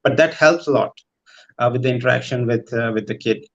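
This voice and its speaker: A man speaking as a panelist in a thoughtful discussion, in a hesitant, halting way. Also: mid-range voice, lo-fi sound.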